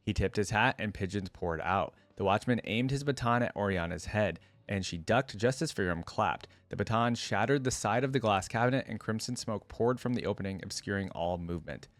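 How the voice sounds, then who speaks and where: A man with a low voice and a close, dry sound reads a story aloud. He narrates expressively, like a storyteller, and drops in casual reactions as a video creator would. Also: brisk, few pauses, no room sound.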